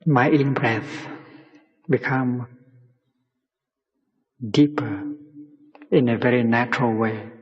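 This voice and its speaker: Very slow, husky man guiding meditation. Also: mid-range voice, fairly even intonation. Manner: gentle, deliberate, short phrases with long pauses. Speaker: meditation teacher leading a guided mindfulness exercise. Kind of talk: guided meditation talk to a community. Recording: muffled recording in a long-reverberant hall.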